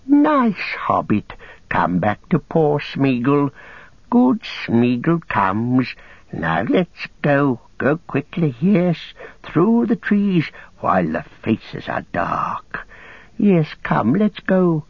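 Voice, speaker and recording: A man voicing a wretched, wheedling, spiteful creature in a strained hiss, muttering in drawn-out broken phrases as in a storybook reading. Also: on the high side, wildly swooping pitch, vocal fry, breathy edge, no room sound, lo-fi sound, voice pushed up, heavily acted. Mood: wheedling, urgent. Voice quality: hissing, strained, whispery at times. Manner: drawn-out muttering, talks of itself in broken grammar.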